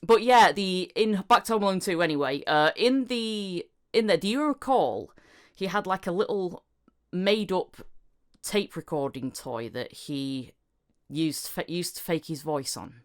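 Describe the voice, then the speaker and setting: A woman's voice: lowish voice, lively intonation, a little slow. A woman hosting a podcast interview in a casual way, often laughing and restarting her questions as she goes. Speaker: podcast host.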